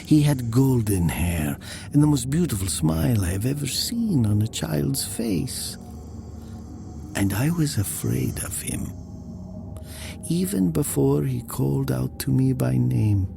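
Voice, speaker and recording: A man narrates a horror tale in a hushed, deliberate way. His creaky voice moves slowly but with lively intonation, often thinning to near whispers over a noisy ambient bed. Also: medium pitch. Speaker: story narrator. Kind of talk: dramatic first-person horror narration.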